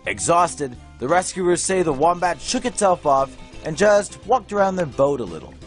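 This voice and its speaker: Male narrator for light news, fairly high voice, playful storytelling, music underneath. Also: very animated, moderate pace.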